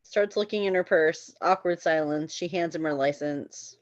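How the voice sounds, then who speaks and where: A woman narrates screenplay action lines in a fairly low voice. The sound is close and free of room echo, with a slightly muffled top end. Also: moderate pace. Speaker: stage direction narrator. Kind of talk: scripted narration, screenplay read aloud.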